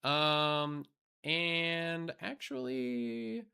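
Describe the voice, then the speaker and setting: Bright-voiced man streamer, voice wearing thin. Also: fairly high pitch, lively intonation, drawn-out words, gravelly, clean tone. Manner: rambling, many fillers and restarts; blunt and profane. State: losing his voice. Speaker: live streamer. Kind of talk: casual live-stream chat.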